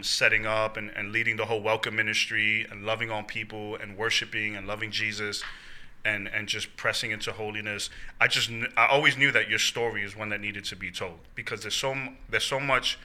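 Bright-voiced man, pastor interviewing. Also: fairly low pitch. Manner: animated, laughs often, slang. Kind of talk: casual church interview.

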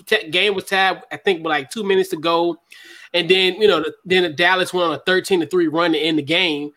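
A man on a sports podcast panel who talks excitedly and laughs easily. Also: sits high, fairly quick. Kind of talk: casual sports talk.

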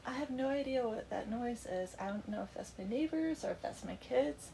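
A woman's voice: fairly high pitch, lively intonation, normal speed, gravelly, noisy background. A middle-aged woman with a congested voice, hosting a chatty book club podcast from her home basement and laughing easily. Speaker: podcast host. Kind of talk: casual book club chat.

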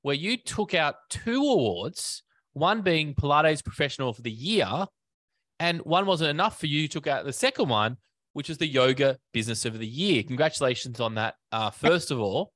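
A man's voice: fairly high pitch, animated, normal speed, a bit bright, dry recording. Man hosting a podcast, dry sound. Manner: rambling, many fillers and restarts. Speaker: podcast host. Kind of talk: casual interview.

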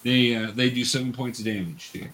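A man's voice: medium pitch, fairly even intonation, fast talker, heavy background noise. A man running a tabletop game for young beginners as game master, patiently explaining each step.

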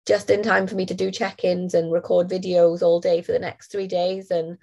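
A woman, co-hosting a podcast in casual chat while nasal and hoarse from a cold. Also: lowish voice, level tone, normal speed, a little mellow, highs cut off. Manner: chatty, laughs often. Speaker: podcast co-host.

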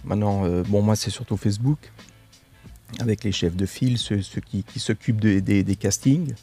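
A man's voice: lowish voice, moderate pace, soft background music. A man, an actor guest on a radio show, who talks hesitantly and often repeats his words. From Switzerland. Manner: hesitant, repeats words, soft trailing phrases. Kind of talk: radio interview.

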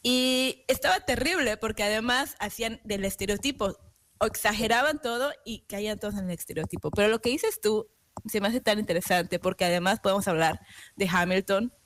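Informal woman with a medium-pitched voice. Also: fairly bright tone. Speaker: talk show co-host. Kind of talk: casual conversational chat.

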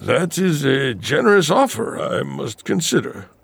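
Excitable, gravelly mad scientist. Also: male voice, fairly high pitch, a little slow, no echo, full character voice. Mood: reluctant, sly. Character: eccentric male scientist, excitable, scheming, eager inventor. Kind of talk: campy satirical audio drama acting.

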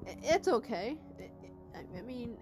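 An awkward girl tries to soothe her friends, stumbling and repeating herself, as a woman puts her on. Her voice is high and soft. Faint music drifts behind. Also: very animated, a little slow. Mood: soothing, awkward. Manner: soft, hesitant, stammering.

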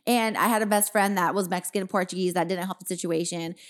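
A woman's voice: lowish voice, steady tone, fast talker. A woman, chatting casually as a podcast host in a slangy, rambling, candid way with some swearing. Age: 30s. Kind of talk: casual, profane podcast chat.

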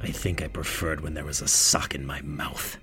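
A man playing a cold, taunting villain in a radio drama, with a haughty, deadpan delivery. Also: extremely low pitch, moderate pace, over music.

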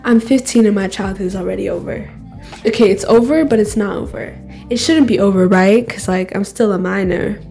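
A woman's voice: mid-range voice, fairly quick, gravelly, dark tone, faint music behind. A teenage girl co-hosting a podcast, talking candidly and rambling, often laughing at herself. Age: teens. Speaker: podcast co-host. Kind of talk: casual solo chat.

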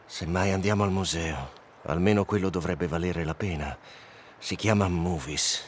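An actor playing a weary, sceptical hiker in an audio drama, grumbling and dismissive. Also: male voice, sits low, moderate pace, a little hiss or hum, lightly acted. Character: weary, sceptical male hiker wanting to go home.

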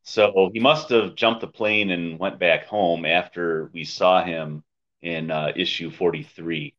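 A man's voice: low-pitched, fairly even intonation, a little slow, fairly bright tone. A man co-hosting a podcast, talking in a casual, wry, conversational review style over a dull lo-fi recording. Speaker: podcast co-host. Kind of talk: casual comic book review chat. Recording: dull, lo-fi microphone sound.

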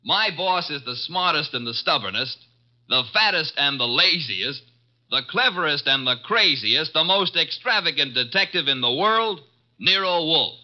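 An actor playing a wry, wisecracking detective's assistant in brisk, emphatic 1950s radio drama style. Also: male voice, fairly high pitch, lively intonation, close to an everyday voice. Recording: muffled vintage 1950s radio recording.

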